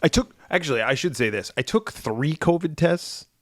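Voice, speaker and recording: A man in his forties, hoarse and raspy after being ill, co-hosting a podcast in casual chat, rambling with fillers and restarts. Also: fairly high pitch, expressive, moderate pace, no echo. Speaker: podcast co-host.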